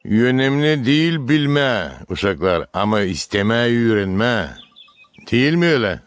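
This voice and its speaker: A kindly old grandfather from a cartoon village, acted by a man. He talks like an encouraging storyteller at a medium pitch, recorded dry. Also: animated, a little slow, smooth, no room sound, close to an everyday voice. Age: older. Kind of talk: cartoon character acting.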